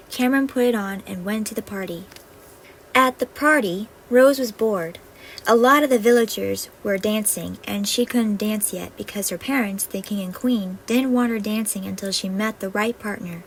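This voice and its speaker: A woman storyteller reading a scripted fairy-tale narration. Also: mid-range voice. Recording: faintly noisy home recording.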